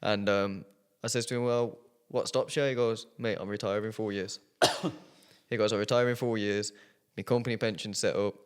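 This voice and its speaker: A man's voice: fairly low pitch, fairly even intonation, moderate pace, clean tone. A man in his twenties, a podcast co-host, telling a story in a playful, jokey way. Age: 20s. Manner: playful, jokey, retells conversations. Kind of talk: casual chat, storytelling.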